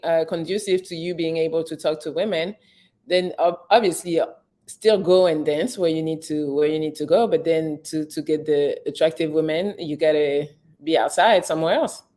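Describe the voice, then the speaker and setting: A woman's voice: fairly low pitch, darkish tone. Blunt woman hosting an advice show. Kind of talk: casual call-in chat.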